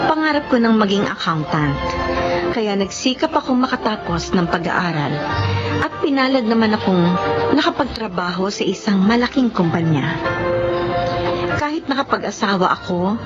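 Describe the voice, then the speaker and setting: A woman's voice: medium pitch, close to an everyday voice. A woman playing a determined Filipino working mother in her thirties in a radio drama. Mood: reflective. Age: 30s. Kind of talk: radio drama acting and narration. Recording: muffled lo-fi audio under music.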